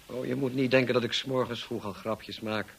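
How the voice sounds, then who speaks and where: An actor playing a brusque, teasing, moody gentleman in a Dutch radio drama, speaking in a dry, commanding way. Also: medium pitch, steady tone, brisk, vocal fry, breathy edge. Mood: brusque, insistent. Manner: dry, commanding, clipped questioning.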